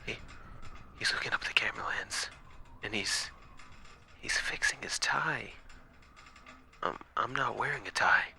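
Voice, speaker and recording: Male actor as exhausted young watchman, horror audio drama. Deliberate pace, hard forceful edge, haunted and dramatic. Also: lowish voice, gravelly, full character voice. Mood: hushed, afraid. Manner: halting, dramatic, breaking into whispers. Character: exhausted, frightened young night watchman recording desperate log entries. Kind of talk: first-person horror audio log narration.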